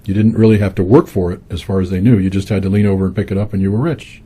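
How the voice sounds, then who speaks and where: A man, a museum curator, explaining things in a relaxed interview clip, with fillers. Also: low-pitched, racing, husky.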